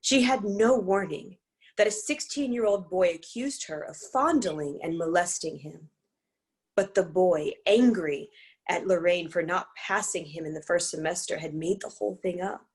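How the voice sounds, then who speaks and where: A woman narrating a scripted drama at a table reading in a measured, formal storytelling manner. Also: lowish voice, a little mellow.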